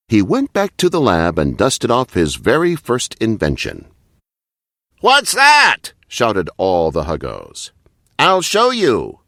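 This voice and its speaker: Male narrator for children's picture books: very animated pitch, slow deliberate read, dry studio-style sound. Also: vocal fry, no echo. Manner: expressive, deliberate read-aloud delivery. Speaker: storyteller. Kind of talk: children's bedtime story narration.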